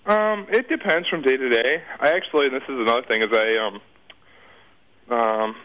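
A man's voice: mid-range voice, normal speed, bright, forceful tone, low-quality recording. A man speaking as a guest in a casual interview, talking in a rambling, hesitant, informal way with some slang.

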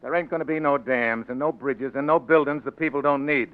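An American actor playing a rough, slangy crook turned stubborn governor in a 1940s radio drama. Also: male voice, mid-range voice, brisk, no echo, close to an everyday voice. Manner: gruff, slangy, tough-guy delivery. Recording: muffled, faintly noisy 1940s radio recording.

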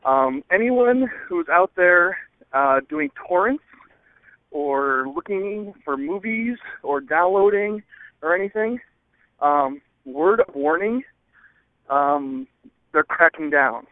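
A man calling in to a show over the telephone, rambling casually and hesitantly with many ums and pauses. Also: high-pitched, expressive, dry recording. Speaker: listener calling in. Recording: narrow, dry phone-line sound.

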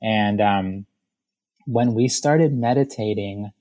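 A man in his thirties from Ecuador, a podcast guest talking thoughtfully and softly, with frequent fillers and restarts. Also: fairly low pitch, slow. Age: 30s. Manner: thoughtful, soft-spoken, many fillers and restarts. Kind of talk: reflective conversational interview.

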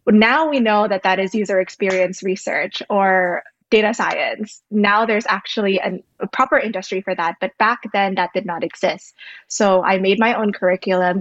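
A woman's voice: mid-range voice, no echo. A young woman from Indonesia, a podcast guest, talking at length in an earnest, thoughtful way. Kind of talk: podcast interview. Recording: dull lo-fi dry recording.

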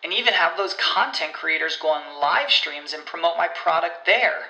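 A woman plays a caller leaving a chatty voicemail in a scripted ad. Her voice is bright and sharp, and it rings in an echoey room. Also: deep voice, normal speed. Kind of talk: scripted ad read.

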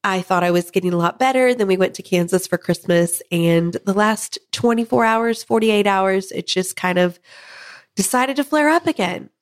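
A woman in her thirties hosting a podcast with her husband, her voice hoarse from a head cold, chatting casually and laughing now and then. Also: mid-range voice, moderate pace. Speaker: podcast host.

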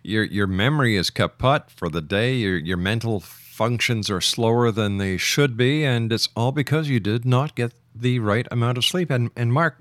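A man's voice: on the low side, fairly bright tone. A man hosting a radio show, speaking in an emphatic, showman-like announcer style.

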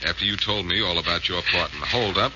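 A man's voice: low-pitched, fast, lightly acted. An actor playing a confident amateur detective hero in a 1940s radio drama, assured and reassuring as he explains. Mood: calm, explaining. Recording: hissy muffled old radio broadcast.

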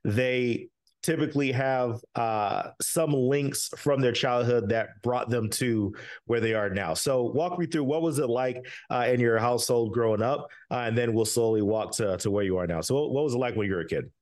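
A man hosting a casual podcast interview, relaxed and full of fillers, jumping in eagerly with questions. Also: medium pitch, normal speed. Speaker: podcast host.

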